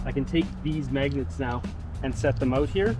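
A man's voice: mid-range voice, moderate pace, music in the background. A young man casually explaining a do-it-yourself car project outdoors, recorded on a handheld camera. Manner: relaxed, explanatory, with fillers. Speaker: vlogger. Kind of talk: casual how-to demonstration. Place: outdoors beside a parked car on a residential street. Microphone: action camera held at arm's length.

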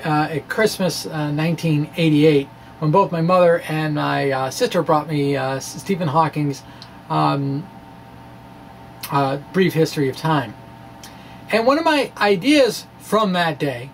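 A middle-aged man explaining physics informally to camera in a halting, rambling, quirky and jokey manner. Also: on the high side, rough recording. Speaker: science blogger and presenter. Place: small indoor room with bookshelves. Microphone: microphone on a stand in front of him. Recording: clear background room noise.

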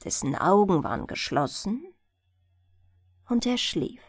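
A woman narrating a bedtime fairy tale in a gentle, soothing, expressive storytelling manner. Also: medium pitch, unhurried, dry recording, clean studio sound, faint music behind. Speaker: audiobook narrator.

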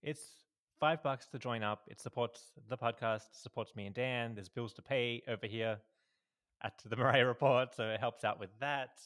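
A man's voice: medium pitch, fairly even intonation, moderate pace, fairly bright tone. A man co-hosting a podcast in casual chat, agreeable and fond of repeated short affirmations, on a podcast microphone. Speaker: podcast co-host. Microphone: podcast microphone, video call.

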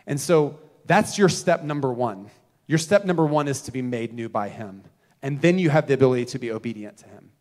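Pastor in his thirties, earnest preaching, swings loud to hushed, average pitch, live on a church stage. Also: lively intonation, a little fast. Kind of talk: sermon. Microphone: handheld mic.

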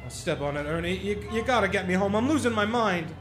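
A man acts a desperate, bewildered family man in a radio drama. His high voice swings widely as he stammers and pleads. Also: fast, over music. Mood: frantic. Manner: stammering, excitable. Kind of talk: radio play acting.

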